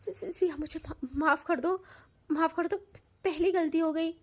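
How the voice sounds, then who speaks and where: A woman's voice: very high-pitched, lively intonation, moderate pace. A woman voicing a hot-tempered, possessive young woman who talks intensely and stammers when she pleads. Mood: begging.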